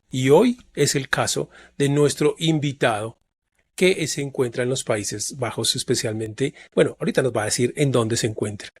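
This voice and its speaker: A man hosting an interview podcast, greeting and questioning his guest in a friendly, formal way. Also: on the high side. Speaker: podcast host.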